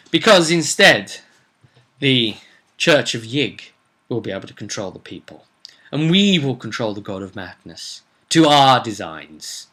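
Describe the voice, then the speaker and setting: A man's voice: medium pitch, big pitch swings, slow, pauses often, pushed and bright. A man telling the story of a tabletop roleplay session as game master, in a rambling, conversational way with repeated phrases.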